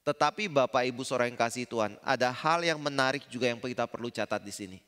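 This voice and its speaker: A man with a Javanese accent preaches a sermon in a conversational way, often asking the congregation questions. His voice is bright and smooth. The sound carries a long reverb from a large church hall. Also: mid-range voice, fast. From Indonesia. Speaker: pastor.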